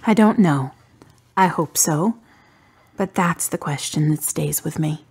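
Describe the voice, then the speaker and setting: Woman playing a husky, grieving, questioning daughter. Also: deep voice, unhurried, darkish tone, exaggerated voice. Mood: pensive, grieving. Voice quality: husky, breathy. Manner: pensive, questioning. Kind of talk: radio drama acting.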